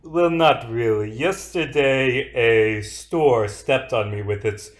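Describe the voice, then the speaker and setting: A man's voice: medium pitch, takes it slowly, lightly acted. A man voicing a boastful cannibal French chef in a put-on French accent, hammy and flustered. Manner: talks of himself, hammy and flustered.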